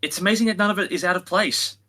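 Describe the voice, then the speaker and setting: A man's voice: sits high, racing, sharp tone. A man co-hosting a review podcast in casual, opinionated discussion, emphatic, sarcastic and prone to swearing. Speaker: podcast co-host reviewing audio dramas.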